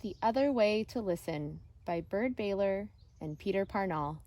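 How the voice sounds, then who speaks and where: A woman reading a children's picture book aloud like an elementary teacher, deliberate and expressive with pauses. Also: medium pitch.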